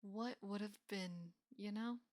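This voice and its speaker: A young woman playing a teasing, affectionate college best friend in an intimate roleplay audio, speaking softly and often slipping into whispers. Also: mid-range voice, slow pace, no room sound, clean studio sound, close to an everyday voice. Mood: wistful, playful. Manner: soft, often dropping into whispers, sighing. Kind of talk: intimate roleplay audio acting, casual and soft.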